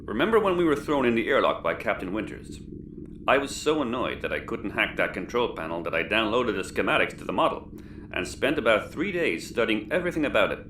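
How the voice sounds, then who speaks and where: A clever, fussy spaceship crewman talks in a lowish voice with earnest precision. The sci-fi comedy recording is roomy, with faint background noise. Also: very animated, a little fast, a bit bright. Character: fussy, clever, earnest male tech expert of spaceship crew. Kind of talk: sci-fi audio comedy acting.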